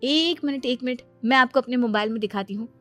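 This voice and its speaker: A woman's voice: fairly high pitch, a little fast, bright, forceful tone, soft background music. A woman playing a chatty, boastful young daughter-in-law in a moral story cartoon, speaking with emphatic self-assurance. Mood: breezy, smug. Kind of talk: animated Hindi moral story voice acting.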